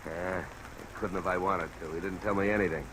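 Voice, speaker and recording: A hard-boiled detective in a vintage radio drama. His low voice is dry, sardonic and world-weary. The old recording is noisy, with rain over it. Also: steady tone, a little fast. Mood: groggy, wry. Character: hard-boiled, sardonic male private investigator.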